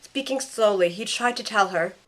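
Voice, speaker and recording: A woman acts out a caring young heroine telling her own story in an audio drama. It is scripted first-person narration. Her voice has a medium pitch. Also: normal speed, fairly bright tone, natural voice, poor audio. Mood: tense narration.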